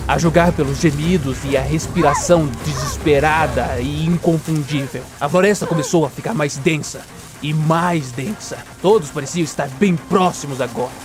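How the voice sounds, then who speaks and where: A man's voice: on the high side, normal speed. A man with a Brazilian accent narrating a dramatic story in suspenseful, short clipped phrases. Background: music.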